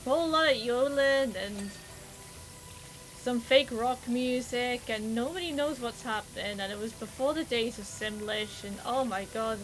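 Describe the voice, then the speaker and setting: A woman's voice: fairly high pitch, moderate pace. Chatty Geordie woman streamer. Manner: chatty, exclamatory, swears freely. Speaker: livestreamer. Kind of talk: casual gameplay commentary.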